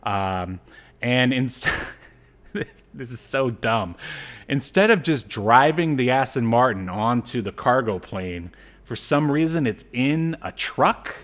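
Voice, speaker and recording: Male podcast co-host, mid-pitched, chatty and comic, walks through a story with mocking disbelief. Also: lively intonation, slow pace, fairly bright tone. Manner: incredulous, mocking asides. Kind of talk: casual comic episode recap.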